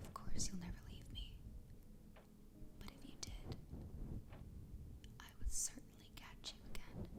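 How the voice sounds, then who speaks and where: A woman voicing a doting, possessive yandere fox girl wife in a soft, breathy ASMR whisper, tender and soothing. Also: on the low side. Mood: sweetly menacing. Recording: clear background ambience noise.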